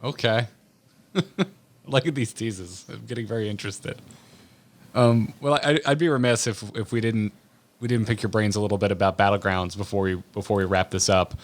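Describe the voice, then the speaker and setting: A man with a fairly low voice hosts a casual podcast interview. He frames his questions playfully, with a mock-serious build-up. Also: fairly even intonation, normal speed. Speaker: podcast host.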